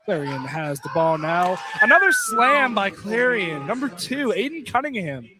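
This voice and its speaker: Male radio sports announcer, notably high voice, clipped basketball play-by-play over clear gym noise. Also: big pitch swings, moderate pace. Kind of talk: live basketball radio commentary. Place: indoor college field house, courtside. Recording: noisy live gymnasium broadcast.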